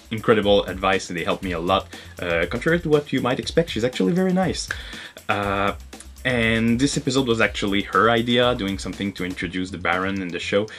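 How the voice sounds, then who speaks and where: A man's voice: deep voice, a bit bright. A man with a French Canadian accent, French his first language, hosting a casual vlog and talking hesitantly with many fillers. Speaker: host.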